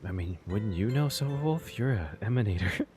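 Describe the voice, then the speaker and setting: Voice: mid-range voice, lively intonation, a little fast, over music. A video game streamer giving casual live reaction commentary, gushing and easily moved.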